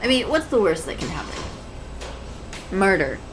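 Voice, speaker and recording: A woman's voice: mid-range voice, very animated, normal speed, close to an everyday voice. A woman playing a shy, anxious, adorkable young woman in an intimate roleplay audio, rambling hesitantly and stammering. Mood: anxious, spiraling. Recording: clear background coffee-shop ambience noise. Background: coffee shop ambience sound effects.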